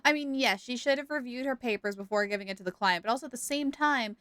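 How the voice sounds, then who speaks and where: Higher-pitched woman, chatty game streamer. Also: fast talker, a bit bright, no echo, soft background music. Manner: chatty, playful, giggly. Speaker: game livestreamer. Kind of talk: casual reactive livestream commentary.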